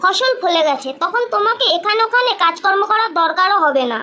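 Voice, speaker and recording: Woman as high-voiced sensible village wife. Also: lively intonation, a little fast, pushed and bright, full character voice. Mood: encouraging. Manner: earnest, advising.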